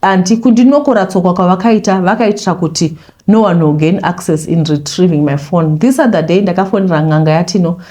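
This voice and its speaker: A Zimbabwean woman reading a listener's confession aloud and commenting on it, mixing Shona and English in an animated way. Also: lowish voice, fast.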